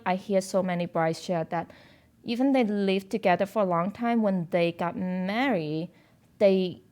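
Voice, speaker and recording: Woman, chatty bridal shop owner. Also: medium pitch. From born in China, raised in Hong Kong. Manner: animated, lots of "like" fillers, gets loud when emphatic. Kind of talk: casual podcast chat.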